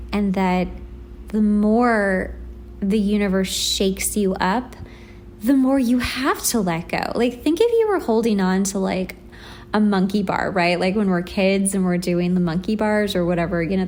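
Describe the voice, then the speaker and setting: A woman's voice: mid-range voice, a little mellow, slight background noise. A woman hosting a casual motivational solo podcast, talking in an informal, conversational and emphatic way. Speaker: podcast host.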